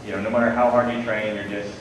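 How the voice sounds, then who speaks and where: A man's voice: fairly low pitch, little pitch movement, fast, noisy background. A man in the audience asking a panel long, rambling questions full of filler words. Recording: echoey hall from far off.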